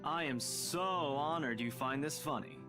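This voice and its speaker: An actor voicing a composed young male detective in a visual novel, sarcastic and deadpan. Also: a little high, unhurried, close to an everyday voice. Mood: dry sarcasm. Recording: dialogue mixed over game music.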